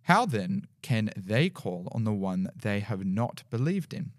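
Young male guest, deep baritone, scripture reader, dry studio mic. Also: sing-song, dry recording. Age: young adult. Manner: slightly halting reading with small slips. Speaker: podcast guest. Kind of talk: reading scripture aloud. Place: small podcast studio indoors. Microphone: studio mic on boom arm with headphones.

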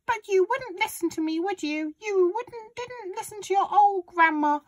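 A woman voicing a cantankerous, boastful old grandmother from a children's storybook, shouting and scolding. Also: very high voice, normal speed, dry recording, clean studio sound, voice pushed up. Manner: shouting, accusatory, crowing.

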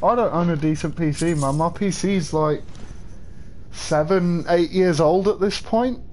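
Young man, slightly high voice, easygoing streamer banter, faint hiss behind. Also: a little slow, darkish tone. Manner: chatty, says "man" a lot, swears at the game. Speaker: game streamer. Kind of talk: casual livestream commentary.

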